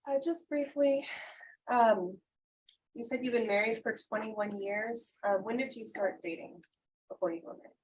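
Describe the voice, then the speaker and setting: A woman's voice: fairly high pitch, moderate pace, a little mellow, slight background noise, poor audio. An American woman, an attorney asking questions informally in a courtroom. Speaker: attorney cross-examining.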